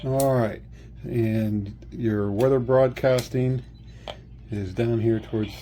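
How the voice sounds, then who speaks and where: A man's voice: mid-range voice, fairly even intonation, takes it slowly, over loud music. A man giving a casual product demonstration, explaining it plainly step by step. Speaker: product reviewer. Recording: faint background noise, room ambience.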